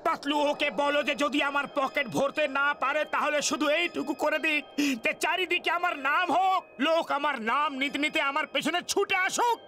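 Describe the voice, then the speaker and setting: An actor voicing a hapless, loud cartoon man who complains theatrically in exclamations, with a shrill, forceful delivery. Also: fairly even intonation, a little fast. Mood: indignant. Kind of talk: children's cartoon dubbing.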